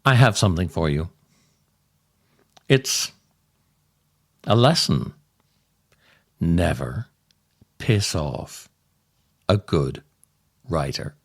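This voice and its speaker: An older man hosting a podcast, reading scripted comic stories and verse in a theatrical, deliberate way with dramatic pauses. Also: low voice, sing-song, slightly rough. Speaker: podcast host, writer and actor.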